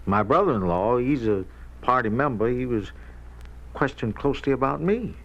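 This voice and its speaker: On a dull old radio recording, an anxious union official with a gravelly edge. He speaks haltingly and deferentially, his pitch wavering widely. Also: lively intonation, fairly bright tone. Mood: worried, halting. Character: anxious, hesitant male union official under pressure. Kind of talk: 1950s radio drama acting.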